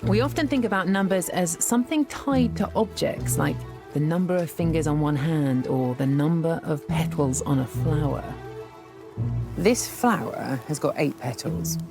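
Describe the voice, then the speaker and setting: Documentary presenter, woman, thirties, low gravelly voice, music under her. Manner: clear, measured, thoughtful delivery. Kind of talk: scripted documentary narration.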